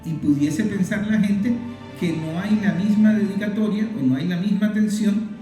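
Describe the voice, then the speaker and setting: A Venezuelan man delivers emphatic political commentary in a high, mellow voice. He explains things like a teacher, repeating phrases to drive points home. The room is strongly echoey. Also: moderate pace. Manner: emphatic, didactic, repeats phrases in list-like runs. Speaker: political commentator hosting a program. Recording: very echoey room, faint music. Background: faint music.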